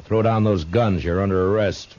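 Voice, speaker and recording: A man playing a gruff, steady American frontier marshal in a 1950s radio western. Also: low voice, moderate pace, heavy background noise, close to an everyday voice. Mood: calm, commanding. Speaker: lead actor and narrator. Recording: muffled old radio recording with music.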